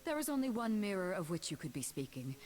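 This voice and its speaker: An actress playing a stern, imperious ancient goddess in a dramatic video game, speaking formally, coldly and portentously. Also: female voice, mid-range voice, very animated, fast talker, darkish tone, a little hiss or hum, natural voice. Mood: stern questioning.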